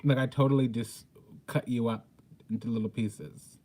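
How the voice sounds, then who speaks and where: A man's voice: medium pitch, steady tone, moderate pace, dark tone. A man giving an informal spiritual tarot reading in a blunt, sassy, profane way with emphatic, theatrical delivery. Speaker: tarot reader, host.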